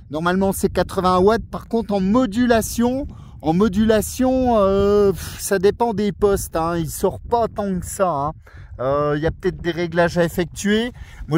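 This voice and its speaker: High-voiced man on the radio outdoors. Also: a little hiss or hum. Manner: relaxed, friendly, explains gear. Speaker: radio amateur and YouTuber. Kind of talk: casual radio chat. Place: outdoors on a mountain at night.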